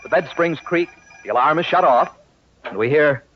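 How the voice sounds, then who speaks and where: A man's voice: fairly high pitch, lively intonation, normal speed. A man announcing and narrating a vintage radio comedy in a scripted style. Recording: muffled old radio recording, faint noise.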